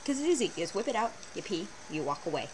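A teenage girl rants to her webcam in a casual, animated way, her voice sitting a little low for a girl. She talks at a medium pitch with sarcastic asides. The audio is a hissy built-in webcam recording from a small bedroom. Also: rapid-fire. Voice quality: fairly low voice for a woman. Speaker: vlogger. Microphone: built-in webcam mic.

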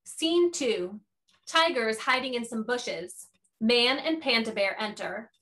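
Bright, high-voiced woman narrator. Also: animated, a little slow, poor audio. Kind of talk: scripted narration of stage directions.

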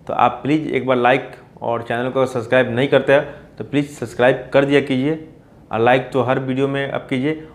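A man, hosting an informal explainer and often addressing listeners as friends. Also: mid-range voice. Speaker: poultry farming video host. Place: small plain indoor room.

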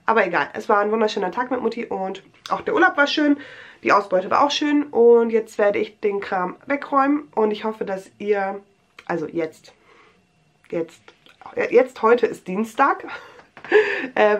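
A woman's voice: on the high side, muffled recording. A young woman with a cold, rambling chattily through a show-and-tell vlog in a small bedroom, full of fillers and restarts. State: has a cold. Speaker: vlogger.

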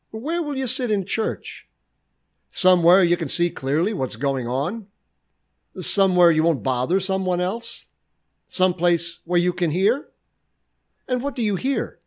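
A man giving a short devotional sermon, reflective and earnest, asking questions aloud. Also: fairly high pitch. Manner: reflective, lively preaching with rhetorical questions. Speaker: preacher.

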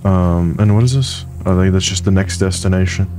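A man's voice: deep voice, a little fast, music in the background. A man commenting casually on a game as he plays, joking and thinking aloud. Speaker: gaming youtuber. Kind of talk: casual let's-play commentary.

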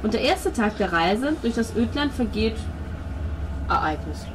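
A woman's voice: on the high side, animated, moderate pace. A woman narrating a tabletop role-playing game as its game master. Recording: noisy home table recording.

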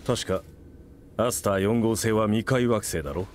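An actor playing a direct, formal senior federation official in a game cutscene. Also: male voice, on the low side, moderate pace, slight background noise, close to an everyday voice.

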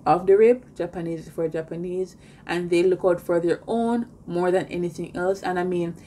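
A young woman with a Jamaican accent, talking to her channel's viewers in an earnest, measured way as she films herself indoors. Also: on the low side, fairly even intonation, dark tone, slight background noise. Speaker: vlogger. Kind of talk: casual talk to camera. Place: indoors in front of a pink backdrop.

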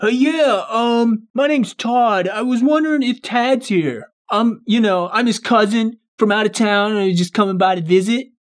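A man's voice: sits high, moderate pace, hardly any pauses, close to an everyday voice. A man plays a scheming twin in a video game roleplay. He poses as an out-of-town cousin, with informal, hesitant, wheedling talk. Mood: falsely polite.

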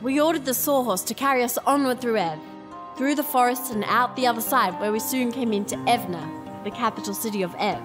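A woman's voice: on the high side, fairly quick, music in the background, lightly acted. A woman playing an earnest, resolute young princess in an audio drama. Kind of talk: audio drama acting and narration.

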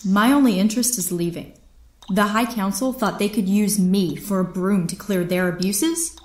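Radio drama actress as proud imprisoned female captain: defiant, bitter, formal, mid-pitched, in a roomy echo. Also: normal speed, natural voice. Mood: bitter, resolute. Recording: roomy, echoing sound.

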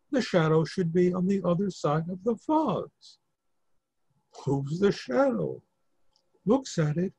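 A man's voice: fairly high pitch, warm tone, slightly rough, dry recording, poor audio. An older man reading out the stage directions of a play. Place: indoors at home on a video call.

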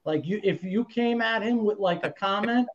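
A man's voice: high voice, normal speed. Easygoing Bronx man on a call. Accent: New York. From the Bronx, New York. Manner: laughing, easygoing. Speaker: guest actor. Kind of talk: casual chat on a video call. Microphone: video call. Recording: dull lo-fi call audio.

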